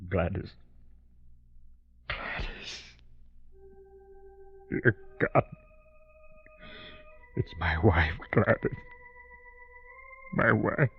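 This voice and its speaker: An actor playing a stunned, anguished husband in a 1940s radio drama. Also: male voice, low voice, drawn-out words, pauses often, faint music behind, full character voice. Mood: shocked grief. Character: stunned, anguished husband finding his wife dead. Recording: dull old radio recording.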